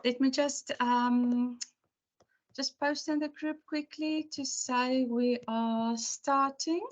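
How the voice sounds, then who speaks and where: A woman lecturer with a hoarse, croaky voice, talking to her class in a chatty, rambling and apologetic way on a video call. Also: high voice, steady tone, takes it slowly, lo-fi sound. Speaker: university lecturer.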